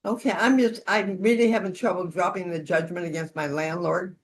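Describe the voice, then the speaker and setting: A woman's voice: fairly low pitch, fairly even intonation, brisk. A woman leading an instructional session in an informal, conversational way, with role-played support calls. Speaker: session leader demonstrating peer-support calls.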